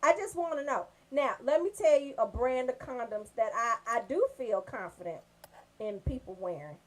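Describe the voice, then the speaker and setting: Brief: female comedy radio host, high voice with big swings, brash and emphatic, riffing through raunchy banter. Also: normal speed, rough recording. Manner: brash, animated storytelling with emphatic check-ins.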